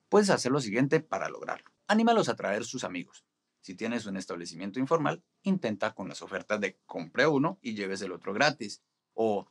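A man in his forties explaining restaurant marketing to camera in a studio, with a steady, instructive delivery. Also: mid-range voice. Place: studio in front of a green screen.

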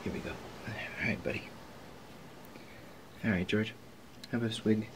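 A man performing a casual ASMR roleplay as a laid-back island beach drinker, rambling and halting as he chats. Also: lowish voice, fairly even intonation, a little hiss or hum, poor audio.

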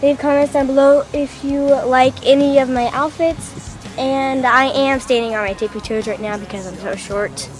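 A woman's voice: high-pitched, fairly even intonation, normal speed. A teenage girl filming a casual outfit vlog outdoors in a suburban yard, chatty and gushing, recorded on a phone camera set on a mailbox. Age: teens. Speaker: fashion vlogger.

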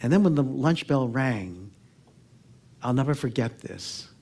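An American man in his sixties gives a personal storytelling talk, reflective and earnest, pausing and letting phrases trail off softly. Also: medium pitch, expressive, breathy edge. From Oakland, California, United States. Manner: reflective, earnest, with pauses and soft phrase endings. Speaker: public speaker. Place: theatre stage before a seated audience.

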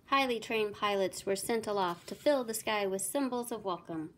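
A woman with a medium-pitched voice narrates a children's picture book expressively, in the way of a teacher reading aloud. Clear noise runs behind her. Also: moderate pace, fairly bright tone.

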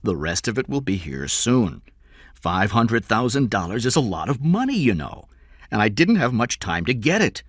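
A man's voice: medium pitch, big pitch swings, normal speed, husky, no echo, clean studio sound, pitched above the performer's own voice. A man playing a tense, defensive rich father in an audiobook, strained and hesitant. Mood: nervous, hesitant.

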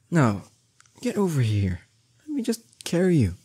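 Man playing a doting strong boyfriend, soft mellow timbre, unhurried, teasing and coaxing, intimate roleplay audio. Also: fairly high pitch, wildly swooping pitch, slight background noise, exaggerated voice. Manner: teasing, coaxing, affectionate, drawn-out words. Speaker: voice actor.

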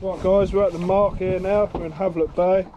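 A fairly high-voiced man chats to his viewers while fishing from a small open boat at sea at night, calling them guys and narrating each catch. A camera on the boat picks him up, and heavy wind roars across the mic the whole time. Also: flat delivery, fairly quick, lo-fi sound. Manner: chatty, addresses viewers as guys. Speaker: fishing vlogger. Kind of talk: casual on-the-spot fishing commentary. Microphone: action camera worn or mounted on the boat. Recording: loud wind noise on an outdoor camera mic.

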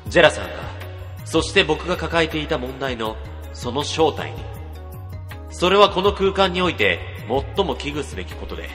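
A man playing a sly, eager young office junior in a comedic radio drama, who also narrates in a hushed, dramatic tone. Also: a little high, big pitch swings, moderate pace, in a large hall, soft background music. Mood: hushed narration.

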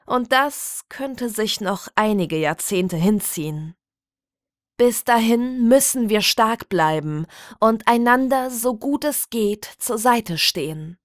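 Slow, formal deadpan woman narrator. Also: on the high side, no room sound, studio quality. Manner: formal, measured, deadpan bureaucratic delivery. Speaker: narrator of a satirical audio story. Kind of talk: scripted reading of a mock official public notice.

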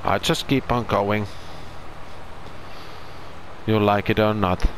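A man's voice: fairly low pitch, steady tone, slow, a little hiss or hum, low-quality recording. A man with a Finnish accent, a non-native English speaker, doing casual commentary over farming gameplay and searching for his words. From Finland. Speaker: gaming channel host.

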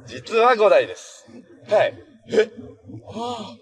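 An actor playing a cheerful, earnest, easygoing young hero in a TV drama, talking in a casual, boyish way. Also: male voice, high voice, big pitch swings, slow pace, heavily acted. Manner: casual, friendly, boyish phrasing. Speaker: actor in a TV superhero series. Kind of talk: naturalistic TV drama acting.

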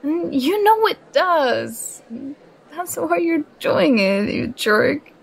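A woman's voice: sits high, sing-song, vocal fry, some chatter behind, exaggerated voice. A young woman playing a nerdy, affectionate girlfriend who gets flustered and bashful, in an ASMR roleplay. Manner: bashful, halting, playfully protesting.

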